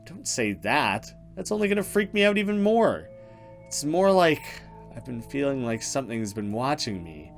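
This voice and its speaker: A man's voice: mid-range voice, sing-song, moderate pace, creaky, soft background music. A man voicing an anxious, easily scared young woman in a visual novel, in an earnest and uneasy manner. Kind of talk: visual novel dialogue read aloud.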